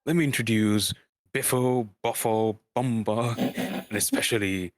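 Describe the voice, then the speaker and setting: A man voicing a genial, theatrical old wizard in a storybook reading, with a booming, declamatory manner. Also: measured pace, lightly acted. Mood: jovial, grand.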